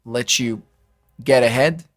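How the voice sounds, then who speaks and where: A man from Israel speaks in a casual, conversational podcast style. His voice sits at a medium pitch, and he talks as a guest would, in loose everyday phrasing. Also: slow pace, soft background music. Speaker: podcast guest, financial advisor.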